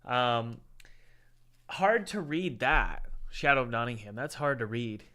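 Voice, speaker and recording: A man's voice: mid-range voice, slow pace, sharp tone. A man hosting a casual livestream, rambling and repeating himself, sounding stuffy like he has a head cold. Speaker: livestream host reviewing indie games. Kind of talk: casual livestream chat with ad read. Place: home room, window open, cold.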